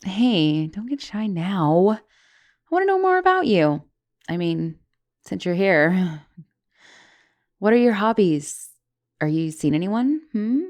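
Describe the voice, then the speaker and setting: A young woman playing a guilty, caring giantess college student in an intimate ASMR roleplay, hesitant and stammering, with soft turns toward whispering. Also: medium pitch, sing-song, takes it slowly, pauses often. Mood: awkward, curious. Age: young adult. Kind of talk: ASMR roleplay, intimate one-sided dialogue.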